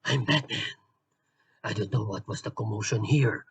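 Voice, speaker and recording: A man voicing a brooding but awkward male superhero, halting and deadpan, in a homemade toy skit. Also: on the low side, vocal fry, slightly rough, pitched below the performer's own voice, full character voice.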